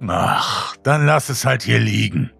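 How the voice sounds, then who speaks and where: A man voicing an eerily cheerful ragged stranger in a horror story, with a drawn-out, sugary delivery. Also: lowish voice, lively intonation, gravelly, a bit bright, slightly rough, faint music behind, pitched above the performer's own voice, heavily acted. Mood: coaxing, cheerful.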